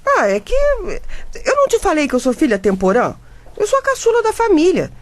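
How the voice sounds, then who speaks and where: Theatrical, plaintive Brazilian mom. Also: female voice, very high voice, wildly swooping pitch, normal speed, darkish tone, heavily acted. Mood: indignant. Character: dramatic, doting 38-year-old mother, a reporter. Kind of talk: comedic radio drama acting.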